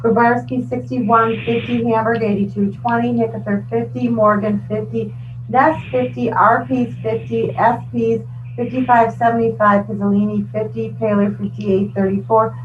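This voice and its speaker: A woman's voice: a little high, little pitch movement, moderate pace. A woman acting as county clerk, formally reading resolutions and lists of names and figures aloud. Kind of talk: reading official records aloud. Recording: tinny phone-like audio, distant, noisy.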